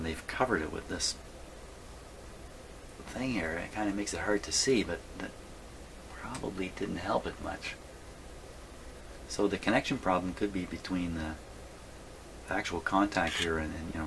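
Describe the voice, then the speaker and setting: Deep-voiced man musing aloud, noisy recording. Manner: rambling, musing, folksy. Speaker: hobbyist host repairing vintage radios. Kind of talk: casual workbench vlog, thinking aloud. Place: indoor radio workshop. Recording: clear background noise.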